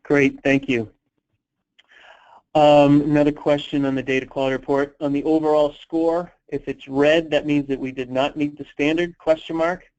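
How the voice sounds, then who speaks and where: A man presenting on a conference call, answering questions in an unscripted, halting, explanatory way. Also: a little high, little pitch movement, a little mellow. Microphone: telephone conference call. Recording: dull, lo-fi conference call line.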